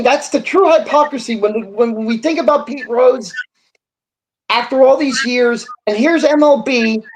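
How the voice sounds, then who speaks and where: A man's voice: very high voice, rough recording. A man speaks as co-host of a casual sports discussion. He states his opinions with emphasis and some indignation. His delivery is conversational, with small asides along the way. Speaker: sports talk show co-host.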